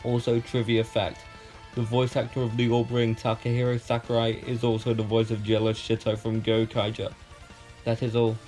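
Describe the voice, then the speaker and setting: A man's voice: medium pitch, monotone, moderate pace, darkish tone, soft background music, poor audio. A man presenting a scripted review as a guest contributor to a countdown video.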